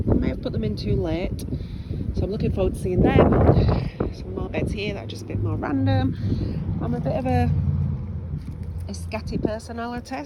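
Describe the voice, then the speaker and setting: Woman with a slight cold, mid-range voice, casual rambling garden tour, outdoor noise and traffic behind. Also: medium pitch. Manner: chatty, scattered, with many ums and restarts. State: sniffly from a cold. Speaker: allotment gardener giving a tour. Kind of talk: casual walking tour vlog. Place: outdoors on an allotment.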